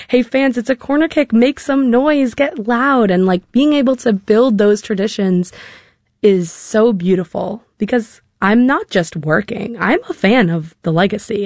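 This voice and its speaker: A woman's voice: medium pitch, darkish tone, no room sound. A nineteen-year-old American woman giving conversational interview answers into a close studio microphone. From Massachusetts, United States. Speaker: interview guest, stadium public address announcer. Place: radio studio.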